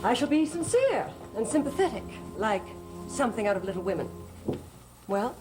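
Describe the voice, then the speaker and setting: Grand, swooping theatrical diva. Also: female voice, fairly high pitch, very animated, moderate pace, poor audio. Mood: mocking. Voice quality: affected, theatrical delivery. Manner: drawling endearments, arch and condescending. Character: grand, affected stage actress, mocking and theatrical. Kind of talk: gothic soap opera acting. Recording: dramatic music behind the voice.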